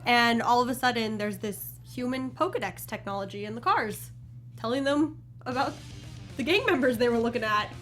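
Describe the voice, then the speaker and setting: A woman's voice: on the high side, moderate pace, fairly bright tone. A woman co-hosting a casual movie review chat with wry, sarcastic remarks, music playing behind her. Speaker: panel show co-host.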